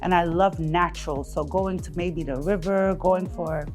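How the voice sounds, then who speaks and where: A woman from Jamaica, giving casual, animated and emphatic interview answers as a music artist. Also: on the low side, normal speed. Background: background music.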